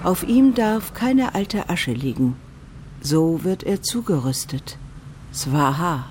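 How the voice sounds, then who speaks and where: An actress playing a solemn Veda teacher who recites ritual instructions in a measured, incantatory way for a radio drama. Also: female voice, on the low side, animated, a little mellow, slight background noise. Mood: solemn, meditative.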